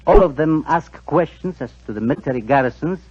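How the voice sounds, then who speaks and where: A ruthless, suave Balkan official. His husky delivery is deliberate and formal, with lively swings of pitch. It sounds like a dull, old 1940s radio drama. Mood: coldly formal. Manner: formal, deliberate, hesitating with small pauses.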